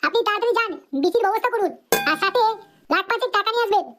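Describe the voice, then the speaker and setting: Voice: extremely high pitch, rapid-fire, music in the background. A performer voicing a cheeky, crude young hospital assistant in a comedy cartoon dub. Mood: brisk, blunt. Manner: cheeky, foul-mouthed.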